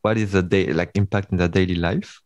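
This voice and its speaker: A low-pitched man with a Vietnamese accent hosts the interview, feeling his way through each question with lots of "I mean" and "you know". The sound is dry and close, with no room echo. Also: fairly even intonation, a little fast, a little mellow. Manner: hesitant, many fillers and restarts. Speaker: podcast host. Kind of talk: casual interview chat.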